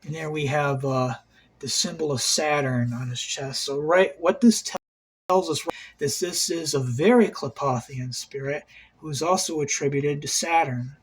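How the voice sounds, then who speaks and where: A man's voice: on the high side, moderate pace, husky. A man hosting an occult video, talking in a rambling, agitated monologue, swearing a lot and repeating himself.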